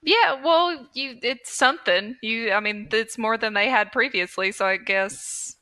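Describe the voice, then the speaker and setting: A woman's voice: a little high, measured pace, pushed and bright. A woman co-hosting a podcast, chatting casually about films in a conversational, self-deprecating way. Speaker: podcast co-host. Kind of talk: casual film review chat.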